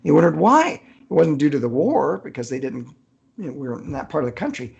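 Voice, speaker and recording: A middle-aged man speaks with a gravelly, fry-laden voice in a casual interview. He is an easygoing storyteller who often halts and searches for his words. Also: on the high side, very animated, fairly quick, a little mellow, lo-fi sound. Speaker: author being interviewed.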